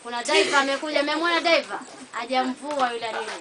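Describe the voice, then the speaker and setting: Casting: East African woman, live interpreter for children, fairly high-pitched, distant from the microphone amid clear background noise. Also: fast. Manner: checks in with tag questions. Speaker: interpreter for a puppet show. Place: open-sided thatched hall. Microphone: far camera microphone. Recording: noisy, distant camera recording.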